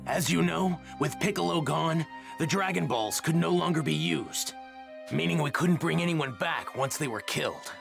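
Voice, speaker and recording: Young male warrior from a ruined future, anime game dub: grave, polite, very creaky, clear background score. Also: a little high, over music. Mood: somber. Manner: solemn, deliberate, polite.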